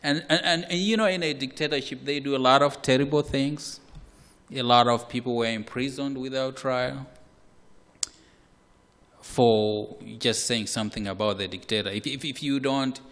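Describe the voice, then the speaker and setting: A man from Malawi, preaching a sermon in a slow, measured way with repeated words. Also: mid-range voice, fairly even intonation. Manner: slow, measured, with repetitions and occasional soft laughs. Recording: hall reverb.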